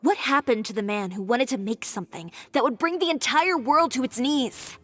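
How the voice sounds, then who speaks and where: An actress playing a proud, resolute young fashion designer in a video game, cool, assertive and cutting. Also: female voice, on the high side, moderate pace, no echo, lightly acted. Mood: scornful.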